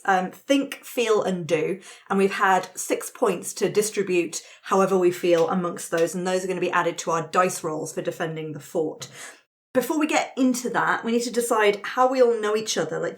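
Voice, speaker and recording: A woman's voice: mid-range voice, moderate pace. A woman facilitating a tabletop roleplaying game, explaining the rules to a group of friends in casual chat.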